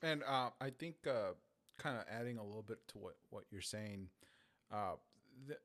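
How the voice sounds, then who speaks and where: Male podcast guest, lower register, informal, agreeable conversational delivery. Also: expressive, moderate pace, a bit bright. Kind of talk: casual chat.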